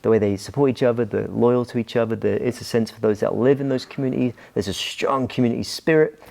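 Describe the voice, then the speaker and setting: A Scottish pastor in middle age, talking as an interview guest. His voice is dark and gravelly, and he often hesitates and restarts his sentences. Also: medium pitch, fairly even intonation, fast talker. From Scotland. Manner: hesitant, many ums and restarts. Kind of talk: casual interview talk.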